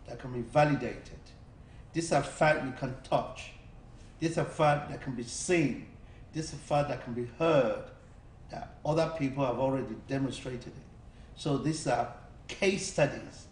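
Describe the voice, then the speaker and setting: A man's voice: medium pitch, distant mic. A man, a studio guest, explaining an investment insistently and repeating phrases for emphasis. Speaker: studio guest, cryptocurrency speaker. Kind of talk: persuasive explanation. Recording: echoey studio with faint hiss.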